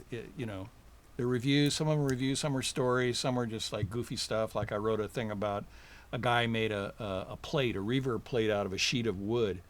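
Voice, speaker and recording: Brief: easygoing man, studio guest, medium pitch, rambling and chuckly. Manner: relaxed, chuckling, rambling with fillers. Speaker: guest, recording engineer. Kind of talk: casual interview chat. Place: recording studio.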